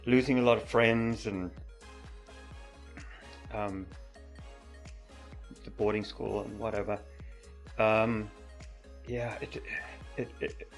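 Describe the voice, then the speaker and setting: A middle-aged man with a stutter who repeats words, talking softly and openly as a podcast guest. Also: lowish voice, flat delivery, slow, pauses often, soft background music, low-quality recording. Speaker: podcast guest, mountain biker. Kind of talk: casual interview chat.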